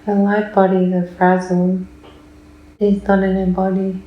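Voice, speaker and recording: A woman speaks in an extremely monotone, slow voice with a dark, relaxed tone in an echoey room. She sounds like a client under hypnosis, answering in detached, deliberate short statements. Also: medium pitch, dull audio. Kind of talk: trance-like answers in a hypnosis session.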